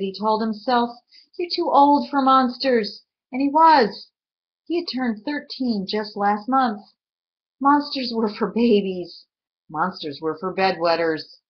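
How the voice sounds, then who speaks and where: A woman reading a storybook aloud in an expressive, deliberate way, with dramatic pauses. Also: medium pitch, breathy edge. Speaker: reader. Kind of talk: storybook read aloud, expressive scripted narration. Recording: dull lo-fi recording.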